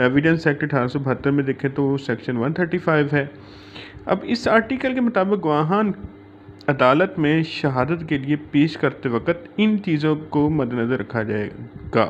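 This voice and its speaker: High-pitched Pakistani man lecturing, muffled audio. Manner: frequent verbal fillers, mixes in English legal terms. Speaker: law lecturer. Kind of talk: informal explanatory lecture. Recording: dull lo-fi audio, clear background noise.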